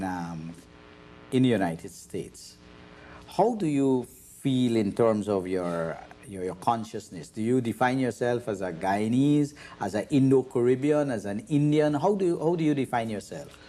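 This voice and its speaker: A man's voice: medium pitch, lively intonation, measured pace, dark tone. A man hosting a panel discussion, asking long, probing questions and often restarting his sentences. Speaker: host and moderator.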